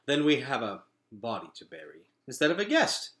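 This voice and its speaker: Guy doing a calm commanding leader, old-timey formal talk, storybook, lively intonation. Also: mid-range voice. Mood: grave. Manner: stately, measured, archaic phrasing. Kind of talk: acted storybook dialogue.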